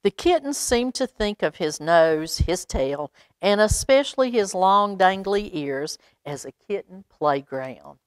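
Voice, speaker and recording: A woman reads a children's picture book aloud, adding expressive, chatty asides for young listeners. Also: medium pitch, a little slow, few pauses, dry recording. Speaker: library storytime reader.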